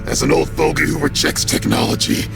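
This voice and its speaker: An actor voicing an arrogant AI parasite villain in a video game, gravelly and breathy, menacing and theatrical. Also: male voice, fairly high pitch, completely flat delivery, a little fast. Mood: bitter, weary. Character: arrogant male AI parasite villain in a powerful body. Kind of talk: dramatic video game cutscene acting. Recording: loud music under the voice.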